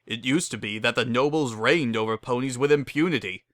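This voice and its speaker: A narrator voicing a brash, hot-headed, stubborn working pony who talks in a blunt, gruff way. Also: mid-range voice, a little fast, a bit bright, no echo, clean studio sound, close to an everyday voice. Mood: scornful.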